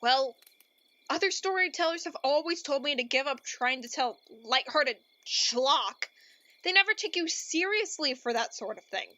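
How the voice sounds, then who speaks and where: A woman acts out an earnest storyteller with a bright, high voice and a very dry, close sound. She delivers gloomy storybook narration deliberately and theatrically, as someone easily exasperated. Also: expressive, no room sound, muffled recording. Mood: doubtful, defensive. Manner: melodramatic, deliberate.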